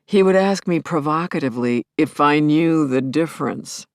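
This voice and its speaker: A woman playing a middle-aged grieving widow in an audio play, telling her story as an intimate, expressive and reflective monologue. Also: low-pitched, moderate pace, no echo, clean studio sound. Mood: fond, amused. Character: grieving widowed scientist, wistful, wry, tender, anxious. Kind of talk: intimate dramatic first-person monologue.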